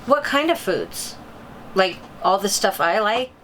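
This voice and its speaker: A woman's voice: mid-range voice, big pitch swings, measured pace, a bit bright, slight background noise, rough recording. A woman playing a concerned, curious mother who interviews an expert in a scripted drama, wide-eyed and sympathetic. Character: concerned, curious mother seeking diet advice. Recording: clear music bed behind the voice.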